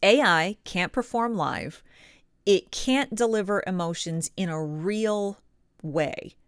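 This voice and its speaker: A woman presenting instructional advice as a coach, with emphatic, deliberate stresses on key words. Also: medium pitch, smooth, no room sound, clean studio sound. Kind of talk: instructional advice talk to camera.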